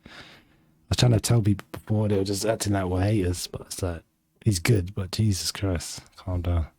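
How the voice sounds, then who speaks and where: A man's voice: low voice, steady tone, moderate pace, a little mellow, slight background noise. Slangy young man with hay fever. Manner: slangy, rambling, laughs easily. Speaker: podcast host. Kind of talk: casual chat.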